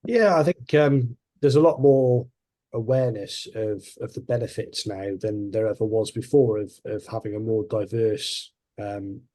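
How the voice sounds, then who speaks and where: A man's voice: medium pitch, warm tone. A man on a panel, talking in a rambling way with many restarts and fillers. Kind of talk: panel discussion.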